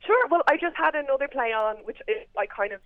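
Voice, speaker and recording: A woman's voice: sits high, expressive, quick pace, no echo. A woman giving a casual interview as a guest, talking informally with plenty of "like". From Ireland. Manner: informal, frequent "like". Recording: narrow phone-like remote line.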